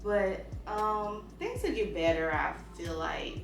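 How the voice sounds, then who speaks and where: A woman in her thirties hosting a casual chat, animated and slangy, laughing often. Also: medium pitch, slow pace, vocal fry. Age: 30s. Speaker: host. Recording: roomy sound from a distance with music.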